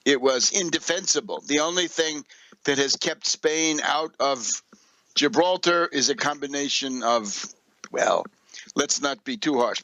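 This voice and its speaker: A man's voice: a little high, pushed and bright, dry recording, poor audio. An older man hosting a political radio commentary, speaking in an emphatic, scornful, declamatory way. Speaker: radio show host.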